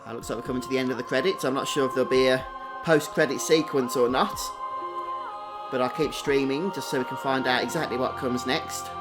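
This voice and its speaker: A man's voice: medium pitch, steady tone, a little fast. A man giving casual live stream commentary as a game streamer. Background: game music behind the voice.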